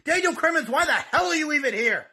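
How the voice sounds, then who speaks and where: A man's voice: extremely high pitch, expressive, quick pace, vocal fry, bright, forceful tone. A man cutting a boastful, taunting wrestling-style promo for a podcast contest. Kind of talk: wrestling trash-talk promo.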